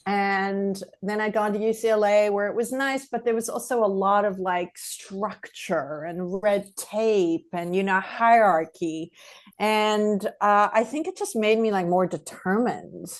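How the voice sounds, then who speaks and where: Middle-aged South African-born woman, interview guest, loose chatty delivery full of fillers, mid-pitched voice. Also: measured pace. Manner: chatty, rambling, many fillers and restarts. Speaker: guest filmmaker. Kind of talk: casual interview chat.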